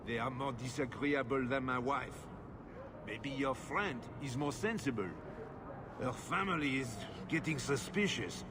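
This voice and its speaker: A man's voice: medium pitch, measured pace, background noise, close to an everyday voice. A man playing a bitter, haughty husband in a video game, self-pitying and scornful, with an indignant, pompous, defensive manner. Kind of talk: dramatic video game acting. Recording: dramatic game music behind the voice.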